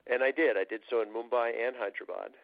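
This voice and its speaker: A man's voice: mid-range voice, quick pace, gravelly, no room sound. A man being interviewed as a guest, talking through his experiences in a reflective, explanatory way with plenty of fillers. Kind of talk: casual interview talk. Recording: tinny phone-like line, dry.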